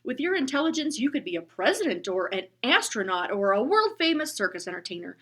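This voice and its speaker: A woman voicing a big male bus driver who speaks in a loud, lofty, gallant manner, in a storybook reading. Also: fairly high pitch, sing-song, fairly quick, voice pushed up. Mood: booming, lofty. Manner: bellowing, courtly and lofty speech.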